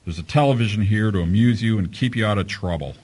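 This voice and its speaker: An actor playing a gruff, impatient veteran police commissioner in a radio mystery, brusque and commanding. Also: male voice, on the low side, fast talker, a little mellow, a little hiss or hum, lightly acted. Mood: stern annoyance.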